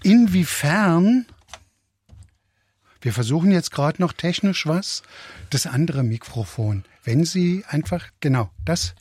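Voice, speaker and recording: A man's voice: fairly high pitch, expressive, slow, a little mellow, slightly rough. A man hosting an interview, friendly and asking long questions, briefly flustered by technical trouble. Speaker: interviewer host. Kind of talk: live interview moderation.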